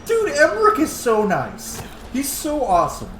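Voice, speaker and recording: A man's voice: extremely high pitch, huge pitch swings, moderate pace, a little hiss or hum. A man giving casual, informal and opinionated gameplay commentary as a let's play host. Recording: roomy echo, loud game music behind. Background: game music and sound.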